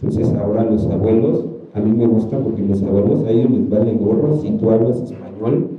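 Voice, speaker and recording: A man's voice: lowish voice, brisk. A man with a Mexican Spanish accent whose first language is Ayuuk, speaking as an artist on a panel in a loose, colloquial, rambling way with many fillers. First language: Ayuuk (Mixe). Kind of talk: casual panel talk. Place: museum talk hall. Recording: roomy, distant, dull sound.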